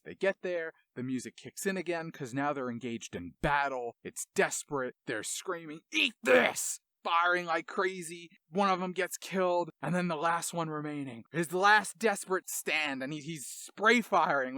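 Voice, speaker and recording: A man's voice: a little high, sharp tone, dry recording, studio quality. A man hosting a game music show with enthusiastic, analytical commentary and dramatic storytelling.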